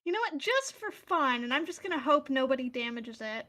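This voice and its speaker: A woman's voice: high-pitched, big pitch swings, brisk, a bit bright. A woman playing a tabletop fantasy game, chatting casually and thinking aloud.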